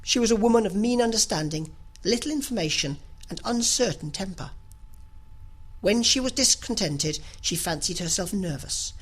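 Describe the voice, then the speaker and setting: A woman reading a literary audiobook as an expressive narrator. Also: medium pitch, normal speed, slightly rough. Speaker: audiobook reader.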